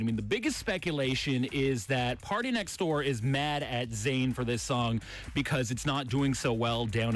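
Young man, pop radio DJ, energetic and highly animated, studio mic with music underneath. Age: young adult. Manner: energetic, punchy delivery. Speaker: radio DJ, music show host. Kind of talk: upbeat pop radio presenting. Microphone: studio broadcast microphone on a boom arm. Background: faint music bed.

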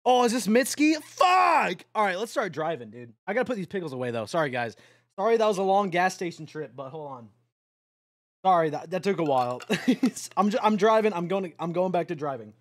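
A young male streamer with a high voice that swoops all over as he chatters to his chat while driving a game truck. He swears freely and yelps and laughs at every mishap. The sound is dry and close, with no room around it. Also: sing-song, a little fast, no echo. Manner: addresses chat directly.

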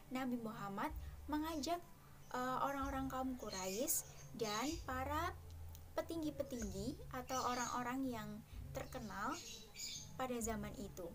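A young woman teaching a lesson to primary school children, slow and careful, with small fillers. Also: high-pitched. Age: young adult. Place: dark room, seated before a backdrop.

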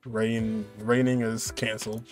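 A man's voice: lowish voice, level tone, measured pace. A young man giving casual live reaction commentary as he streams a video game. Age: young adult. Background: game music.

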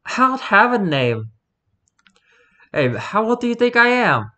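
A man's voice: high voice, sing-song, a little slow, bright, forceful tone, voice pushed up, heavily acted. A man voicing a brash, teasing nomad girl with a light, mocking manner in a storybook reading.

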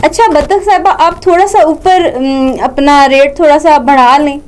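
A woman's voice: high voice, steady tone, normal speed, a little mellow, a little hiss or hum. A young woman with a Pakistani accent, politely mediating as host of a comic puppet show in a TV studio. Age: young adult.

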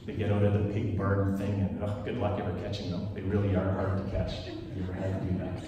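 A Swiss-born man in his forties whose first language is French, giving a relaxed, chatty sermon as worship leader into a handheld mic in a large church. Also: deep voice, fast talker, noisy background. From Switzerland. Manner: relaxed storytelling, chatty asides. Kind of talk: conversational sermon. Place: large wood-panelled church sanctuary. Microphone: handheld microphone, filmed from far. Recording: echoey church hall from a distance.

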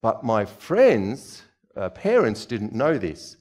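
Chatty middle-aged preacher quizzing the audience. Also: male voice, on the low side, very animated, slow, a little mellow. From Czechoslovakia. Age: 40s. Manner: chatty, questions the audience, moves about gesturing. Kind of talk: conversational sermon. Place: church hall stage. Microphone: headset.

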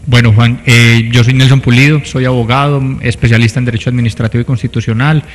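Male interview guest, thirties, Colombian accent, earnest, fairly flat intonation, studio reverb. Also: mid-range voice, fast, faint music behind. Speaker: interview guest, council candidate. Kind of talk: conversational interview answers. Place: small TV studio set. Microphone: handheld microphone.

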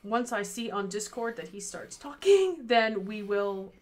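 A woman's voice: medium pitch, animated, measured pace. Chatty woman co-hosting a livestream. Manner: chatty, easily distracted, giggly. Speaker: charity stream co-host. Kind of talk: casual livestream chat. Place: home room with fairy lights behind. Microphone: headset.

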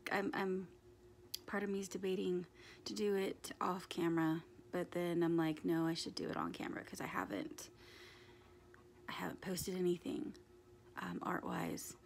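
A young woman in her twenties with a raspy, hoarse voice, chatting casually to a handheld phone camera in a small bedroom, with lots of ums and restarts. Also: lowish voice, a little hiss or hum. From United States. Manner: rambling, many ums and restarts. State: hoarse from screaming at a concert. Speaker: vlogger. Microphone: phone camera held at arm's length.

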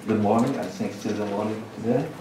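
A middle-aged man with a Lebanese accent delivers a measured conference talk at a lectern, setting out his points one by one. His voice sits a little low. It is picked up from across a large lecture hall, with heavy echo and faint room noise. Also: fairly even intonation. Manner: measured, lists points with pauses. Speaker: conference speaker. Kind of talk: academic presentation. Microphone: lectern microphone, far camera. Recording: echoey hall recorded from far off.

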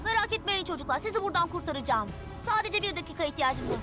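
A woman's voice: extremely high pitch, lively intonation, fast. A woman playing an impulsive, confident young guardian hero in a dubbed cartoon, eager and exclamatory. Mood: determined. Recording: loud music behind the voice.

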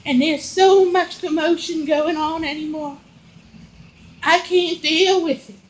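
Slow, high-voiced fretful old lady. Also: steady tone, slight background noise, poor audio. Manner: plaintive, drawn-out questioning. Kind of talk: comic household argument skit.

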